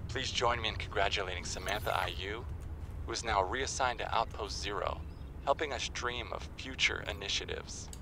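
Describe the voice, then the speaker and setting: A man's voice: on the low side, moderate pace, creaky, bright, forceful tone, slight background noise, heavily acted. An actor playing a male corporate executive delivering a recorded announcement in a video game, upbeat and detached. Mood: briskly upbeat.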